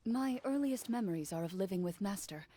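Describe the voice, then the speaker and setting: A woman voicing a composed young female duelist who speaks in a calm, measured, matter-of-fact way. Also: medium pitch, close to an everyday voice. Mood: reflective. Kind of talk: game cutscene voice acting.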